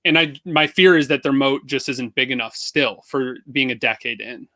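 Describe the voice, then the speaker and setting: A man's voice: medium pitch, fairly even intonation, moderate pace, bright, forceful tone, no room sound. A man speaking as a guest marketing expert, analysing casually and thinking aloud in a conversational way.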